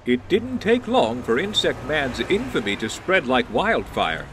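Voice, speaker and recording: A man's voice: medium pitch, very animated, unhurried, over music. A man playing a cheeky, showman-like narrator, delivering dramatic campy narration in the style of an old-time superhero serial.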